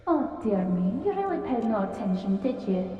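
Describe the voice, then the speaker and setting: A woman's voice: fairly high pitch, big pitch swings, normal speed, slight background noise. A woman voicing an eccentric female potion brewer and magic mentor in an audio drama, teasing, fussy and theatrical. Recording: roomy distant dull-toned sound.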